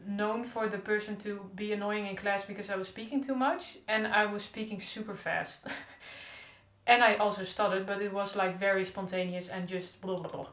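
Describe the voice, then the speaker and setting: A woman's voice: mid-range voice, little pitch movement, moderate pace, fairly bright tone. A young woman who stutters openly with repeated sounds, talking in a casual diary-style vlog. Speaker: stuttering vlogger. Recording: echoey room.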